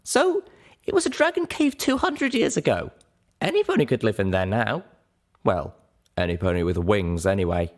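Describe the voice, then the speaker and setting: A man's voice: on the low side, big pitch swings, normal speed. A man voicing a young pony mare adventurer, anxious but wry, in an audiobook reading. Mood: nervous, annoyed.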